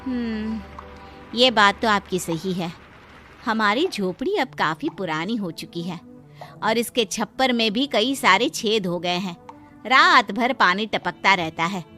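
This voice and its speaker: A woman's voice: medium pitch, measured pace, bright, forceful tone, faint music behind. A woman playing a poor, devoted wife who works as a housemaid, earnest and anxious, in a cartoon moral story.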